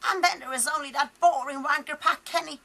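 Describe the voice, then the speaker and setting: A young woman voicing a foul-mouthed, gleeful old Irish granny ghost in a radio comedy, with a high, cackling, crude voice. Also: fairly even intonation, fairly quick, gravelly, sharp tone, full character voice. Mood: grumbling. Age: young adult. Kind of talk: improvised radio comedy acting. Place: large private home.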